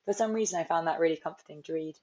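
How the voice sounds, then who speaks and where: A young woman with a London accent talks through her writing life in an unhurried, reflective way, circling back and restarting her thoughts. Her voice sits on the lower side, and the recording is a little dull and lo-fi. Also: dark tone. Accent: London English. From London, England. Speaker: author guest. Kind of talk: reflective interview talk. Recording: slightly dull, lo-fi audio.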